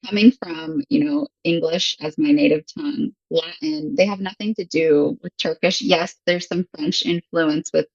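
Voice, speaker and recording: American woman just chatting, laughs a lot, fry in her voice, dull lo-fi audio. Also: fairly low pitch, moderate pace, hardly any pauses, no echo. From Michigan, USA. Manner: relaxed, chatty, laughs often. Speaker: guest, English teacher. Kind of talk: casual conversation.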